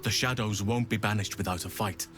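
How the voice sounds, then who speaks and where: A low male voice from an actor plays a resolute druid healer in a fantasy game. He speaks with grave, measured authority. Soft music sits behind him. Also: steady tone, natural voice. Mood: resolute, urgent. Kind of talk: dramatic fantasy video game acting.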